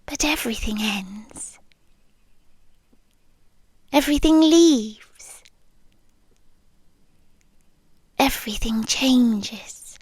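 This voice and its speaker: A British woman playing a centuries-old, possessively devoted monster girlfriend in an intimate ASMR roleplay, speaking softly and often slipping into breathy whispers. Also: on the high side, no room sound, heavily acted. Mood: earnest devotion.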